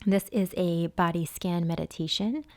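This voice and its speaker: A woman guides a body scan meditation in a lowish, very mellow voice. She speaks in a hushed, soothing manner and leaves long pauses between gentle instructions. The sound is dry, with no room echo. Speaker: yoga and meditation teacher.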